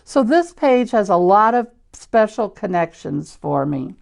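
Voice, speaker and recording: An older woman with an American Midwestern accent reads and chats to children as a storyteller, in simple, deliberate wording with questions to her listeners. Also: mid-range voice, very animated, gravelly, a little mellow. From Iowa, United States. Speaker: church storyteller reading picture books to children. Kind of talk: children's read-aloud with chatty asides.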